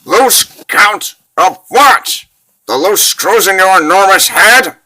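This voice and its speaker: Man doing a cocky, bright-edged old wizard. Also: sits high, animated, takes it slowly, pitched above the performer's own voice, full character voice. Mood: sarcastic. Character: cocky, grumbling old male wizard adventurer.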